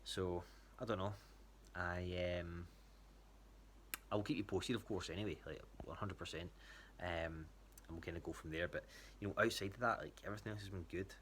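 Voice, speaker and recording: A man's voice: low-pitched, steady tone, normal speed, fairly bright tone, a little hiss or hum. A Scottish man giving a casual spoken check-in, talking in a hesitant, rambling way. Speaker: athlete giving a training check-in to a coach.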